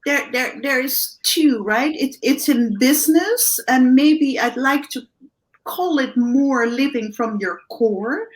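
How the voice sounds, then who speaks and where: A woman from the Netherlands with a Dutch accent, a guest coach giving animated interview answers with many restarts and tag questions. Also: high voice, slow, poor audio. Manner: animated, thinks aloud with many restarts and "right?".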